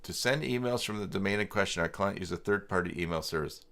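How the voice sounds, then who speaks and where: A man hosting a casual livestream tutorial, halting and muttering to himself as he thinks aloud and reads from his screen. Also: low-pitched, a bit bright. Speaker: livestream host working through website email setup.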